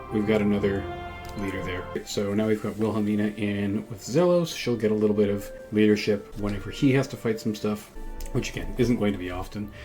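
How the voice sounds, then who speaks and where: Low-voiced man doing game commentary, music behind. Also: mellow. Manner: thinks aloud, explanatory. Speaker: gaming video host. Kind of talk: casual let's-play strategy commentary.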